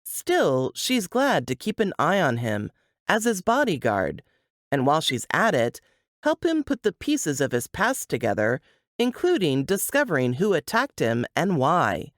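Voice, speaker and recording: A woman's voice: low-pitched, no echo, clean studio sound. A woman reading scripted, dramatic book narration.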